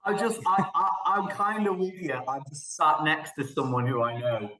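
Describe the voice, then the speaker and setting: A man's voice: fairly high pitch, lively intonation, moderate pace, pushed and bright, a little hiss or hum. A man chatting casually as a panelist on a podcast, recorded with a roomy sound. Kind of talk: casual chat.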